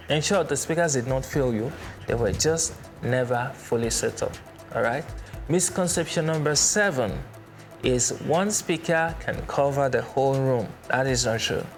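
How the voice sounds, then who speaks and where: A man gives a measured instructional talk at a middling pitch, with music playing clearly behind him. He phrases his points emphatically, like a teacher walking learners through a subject step by step. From Nigeria. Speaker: presenter, church sound instructor. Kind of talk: explainer with everyday analogies. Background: background music.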